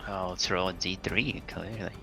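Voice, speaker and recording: A man's voice: deep voice, steady tone, normal speed, vocal fry, bright, forceful tone, faint music behind. A man talking casually as a player in a tabletop roleplaying game.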